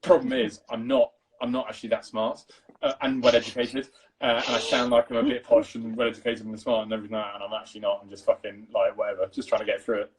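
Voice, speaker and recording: Posh-sounding English man, mumbly and fast. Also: fairly low pitch, flat delivery, slight background noise, poor audio. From East London. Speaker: guest cinematographer. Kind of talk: casual chat.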